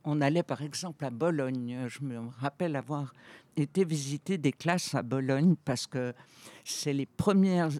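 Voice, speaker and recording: A woman's voice: low-pitched, darkish tone, no echo. A woman of eighty, a guest on a radio interview, recalling her career thoughtfully with frequent hesitations and restarts. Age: 80s.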